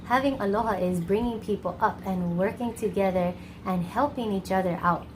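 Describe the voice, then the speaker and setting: A woman from Hawaii hosting a children's storytime, expressive and encouraging, speaking colloquially to young listeners. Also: medium pitch, normal speed, background noise.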